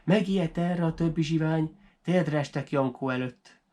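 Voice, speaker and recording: A man narrating a folk tale as a storyteller, in an animated, dramatic way for language learners. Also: a little high.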